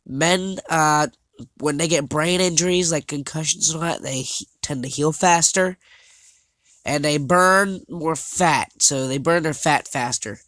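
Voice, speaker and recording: A man's voice: a little high, a bit bright, dry recording. Congested young man, dry close sound. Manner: halting, many fillers and restarts. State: congested, slightly scratchy voice. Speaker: vlogger. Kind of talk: casual talk over slides.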